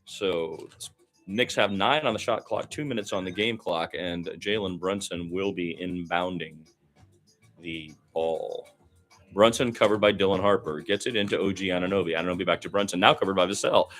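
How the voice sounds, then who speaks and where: A man's voice: sits low, normal speed, fairly bright tone. A man doing live radio play-by-play of basketball, describing the action in running detail with short exclamations and asides.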